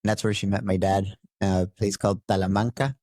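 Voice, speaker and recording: A middle-aged man from Costa Rica telling his life story as a podcast guest, halting and reflective. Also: low-pitched, flat delivery, a little mellow, no echo, clean studio sound. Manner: halting, many fillers and restarts. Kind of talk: interview storytelling.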